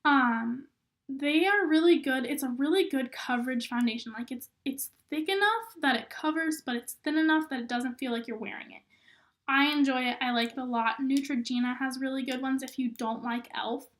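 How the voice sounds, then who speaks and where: High-voiced young woman, slightly hoarse from a cold, casual rambling tutorial delivery. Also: moderate pace, fairly bright tone. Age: young adult. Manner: chatty, filler-heavy, lots of "um" and "like". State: hoarse, recovering from illness. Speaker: rodeo queen giving makeup tips.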